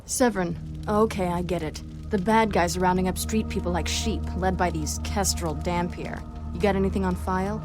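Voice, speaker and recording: An actress playing a tough, sardonic half-vampire heroine in a video game, cool and taunting. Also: female voice, on the low side, fairly even intonation, moderate pace, hardly any pauses, music in the background, close to an everyday voice. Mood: businesslike, irritated. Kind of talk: video game cutscene acting.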